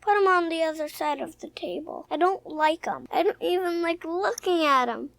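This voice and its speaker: A woman's voice: extremely high pitch, normal speed, gravelly, a bit bright, dry recording, heavily acted. A woman voicing a shy, polite, self-doubting little boy in a mid-century radio soap opera, with a hesitant childlike manner. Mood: upset, stubborn.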